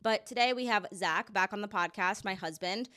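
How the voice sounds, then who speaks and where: A woman's voice: mid-range voice, moderate pace, bright, forceful tone, dry recording, studio quality. A woman hosting a podcast in a casual, upbeat way, sounding a little stuffy from a head cold. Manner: upbeat, chatty, explains things plainly. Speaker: podcast host and dietitian.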